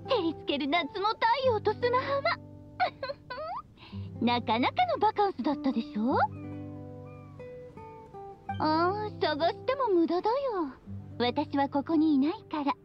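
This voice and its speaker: High-voiced, playful witch mother. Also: very animated, unhurried, long pauses, muffled recording, over music, exaggerated voice. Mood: cheerful. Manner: breezy, teasing, sing-song friendliness. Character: playful, free-spirited witch mother, bubbly and affectionate. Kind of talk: video game voice acting, monologue.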